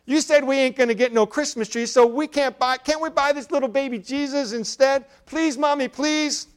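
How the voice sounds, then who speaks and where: A man's voice: very high voice, moderate pace, voice pushed up. A man voicing a poor, eager little girl who talks in a childlike, pleading way with rural grammar. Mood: begging.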